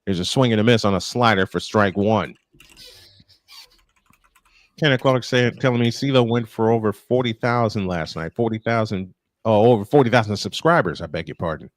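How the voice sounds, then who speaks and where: A man's voice: fairly low pitch, moderate pace, no room sound. A man calling a baseball game live as play-by-play host, slipping between commentary and casual chat.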